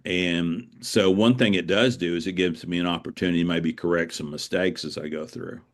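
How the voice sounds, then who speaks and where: A middle-aged man speaking casually as an interview guest, explaining things in a halting way and repeating words. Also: low voice, fairly even intonation. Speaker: guest, author.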